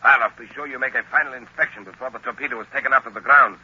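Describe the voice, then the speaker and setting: An actor playing a scheming, arrogant professor with a precise, menacing delivery in a 1930s radio thriller. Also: male voice, mid-range voice, steady tone, rapid-fire, breathy edge, close to an everyday voice. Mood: cold, gloating. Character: scheming villainous male professor, coldly arrogant and uneasy. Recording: bright, lo-fi old radio recording, faint noise.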